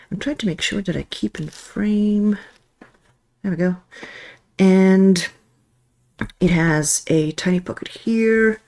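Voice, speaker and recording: A woman's voice: lowish voice, darkish tone. A woman from Belgium, speaking English as a second language, chatting casually at home as she shows her stationery and sometimes searches for words. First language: not English. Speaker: stationery vlogger. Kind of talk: casual show-and-tell. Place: home, with cat.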